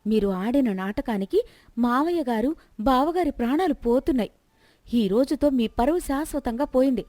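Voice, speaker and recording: A woman plays a sensible young daughter-in-law in a storybook tale. She speaks earnestly and firmly in a fairly high voice, recorded dry. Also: animated, moderate pace, mellow, no echo. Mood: grave. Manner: earnest, firm.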